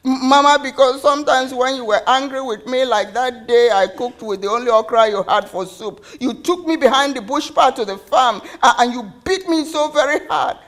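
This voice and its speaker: A woman voicing a hurt, anxious young daughter in a story, halting and pleading as she questions her mother. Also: a little high, gravelly, a little mellow, heavily acted. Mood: hurt, agitated.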